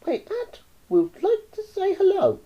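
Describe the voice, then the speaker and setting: A reader voicing a bossy, excitable young boy who yells orders in a shrill, high voice. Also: unhurried, dull audio, exaggerated voice. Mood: shouting, bossy. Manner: yelling orders.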